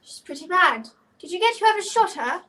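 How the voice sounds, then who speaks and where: A woman playing a brisk, curt doctor in a 1930s-style radio play. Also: very high-pitched, big pitch swings, sharp tone, heavily acted. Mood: curt, grave.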